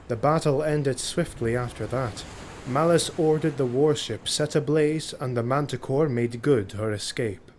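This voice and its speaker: A man's voice: mid-range voice, a little mellow, a little hiss or hum. A man reading scripted fantasy narration dramatically, as an audiobook narrator. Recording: faint ambient sound effects behind voice. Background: ambience and sound effects.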